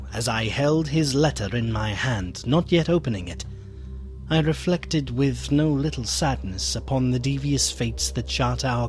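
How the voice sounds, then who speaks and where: An actor playing a melancholy, fearful gentleman who narrates a gothic radio drama in a solemn, literary, theatrical manner. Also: medium pitch, close to an everyday voice. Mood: wistful.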